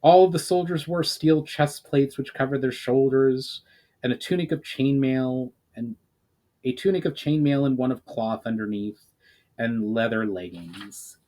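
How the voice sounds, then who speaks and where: A man's voice: medium pitch, a little slow, dark tone. Man reading fantasy story aloud dramatically. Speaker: author reading own book, channel host. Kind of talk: dramatic audiobook-style narration.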